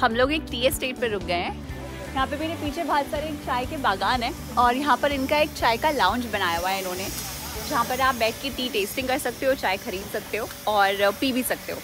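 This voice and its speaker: A woman's voice: a little high, brisk, over music. A young woman co-hosting a travel vlog, chatting playfully and explaining things outdoors at a tea estate. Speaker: travel vlogger, co-host. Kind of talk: casual travel vlog chat.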